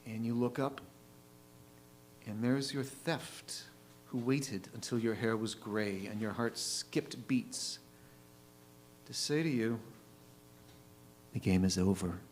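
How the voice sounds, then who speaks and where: A man recites verse very slowly in a deliberate, theatrical style. His pitch is average for a man, and there is a faint hum and noise in the recording. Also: rough recording. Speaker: reader at a readers' theatre. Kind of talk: dramatic poetry recitation. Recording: noticeable hum and background noise.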